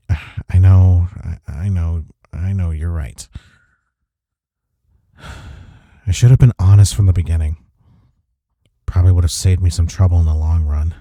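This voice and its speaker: Male actor for tender, teasing coworker love interest, audio roleplay; low voice, slow halting murmurs. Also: steady tone, warm tone, no echo. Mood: tender, remorseful. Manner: hesitant, halting, breathy sighs and murmurs. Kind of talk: intimate romantic audio roleplay acting.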